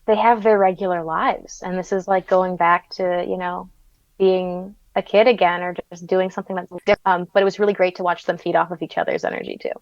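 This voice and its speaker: A young woman chats cheerfully as a podcast guest, gushing like a fan and peppering her talk with "like." Her voice sits at a middle pitch and comes through dry and a little dull, as if over a lo-fi line. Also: flat delivery, brisk, dry recording. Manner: upbeat, giggly fan talk with many "like"s. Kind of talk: casual conversation.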